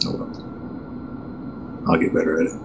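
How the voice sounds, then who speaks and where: A man's voice: moderate pace, heavy background noise. A man giving casual running commentary on an online flight simulator session. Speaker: video host watching and commenting on virtual air traffic control session.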